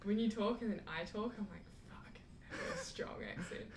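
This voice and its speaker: A woman's voice: mid-range voice, a little fast. A young woman from New Zealand with a New Zealand accent, chatting casually as a guest and peppering her talk with "like". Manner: chatty, laughs often, fillers like "like". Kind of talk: casual chat. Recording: echoey room with clear background noise.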